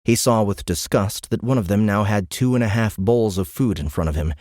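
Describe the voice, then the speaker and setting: A man's voice: on the low side, fairly quick, darkish tone, no room sound, studio quality. A man narrating a science fiction audiobook in a scripted, dramatic storytelling style.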